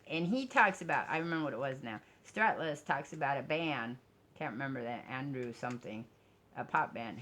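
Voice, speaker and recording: A woman with a strikingly low voice talks in a casual personal vlog. She rambles informally and candidly, drifting between thoughts and often changing track mid-sentence. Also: fairly bright tone. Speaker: vlogger.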